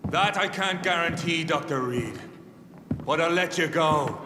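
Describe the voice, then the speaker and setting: Menacing hunter leader for a game played by a man: sardonic, lighter-pitched, taunting, reverberant hall sound. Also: unhurried, natural voice. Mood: quietly threatening. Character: mocking, menacing male vampire hunter leader. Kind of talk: video game dramatic acting. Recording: echoey large hall, noisy background.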